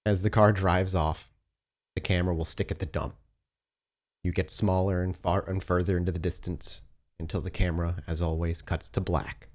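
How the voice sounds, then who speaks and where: A man narrating a tabletop roleplaying game as storyteller, in a measured, descriptive and dramatic cinematic style. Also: low voice, flat delivery. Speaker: game master, storyteller of an actual play podcast.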